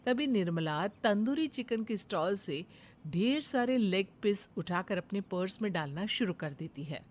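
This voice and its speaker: A woman narrates an animated moral story in a mid-pitched voice. She reads from a script as a storyteller, setting out the tale in plain narrative sentences. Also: measured pace, a little hiss or hum.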